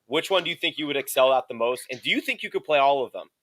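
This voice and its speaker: A man's voice: medium pitch, animated, racing, fairly bright tone. A man co-hosting a casual sports chat, putting questions to the other speaker. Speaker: co-host asking questions.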